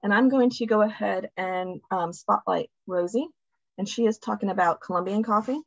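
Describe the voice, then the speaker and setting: A woman's voice: medium pitch, moderate pace, gravelly, no room sound. A woman hosts an online class over a video call, loose and restarting her sentences with "um" fillers. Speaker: host. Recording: dry, dull video call audio.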